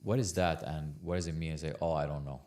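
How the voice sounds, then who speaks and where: A man's voice: rumbling low, fairly even intonation, quick pace, darkish tone. A man from Lebanon, a guest on a casual podcast, talking earnestly, stumbling over repeated words and saying "bro" a lot.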